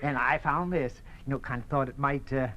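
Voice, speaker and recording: An actor playing a bashful, good-natured old country neighbour in radio drama style, rambling and hesitant in a folksy way. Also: male voice, mid-range voice, brisk, lo-fi sound, a loud crowd, natural voice. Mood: bashful, jovial. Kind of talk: 1950s radio drama acting. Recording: noisy old radio recording.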